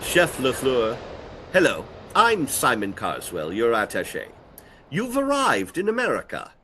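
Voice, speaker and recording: A man's voice: medium pitch, huge pitch swings, pushed and bright, a little hiss or hum, exaggerated voice. A man playing a polite, formal attaché to a celebrity chef in a comic audio drama. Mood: polite, composed. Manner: formal, courteous, measured.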